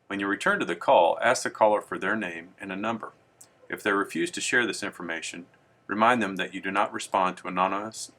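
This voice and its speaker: A man with a low, clear-edged bright voice presents a training tutorial. He reads from a script in a formal, measured and instructional way, with a forward, crisp tone.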